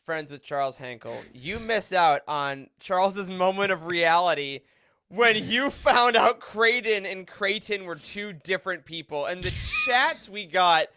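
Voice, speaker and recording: A man chatting casually as a podcast panelist, animated and slangy in a fan roundtable discussion. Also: high voice, a little slow, clean tone, dry recording.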